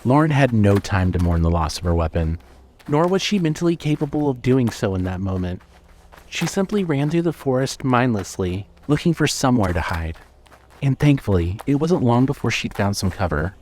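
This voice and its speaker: A man narrating scripted horror fiction in a measured, dramatic storytelling manner. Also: mid-range voice, very animated, a little mellow, slight background noise.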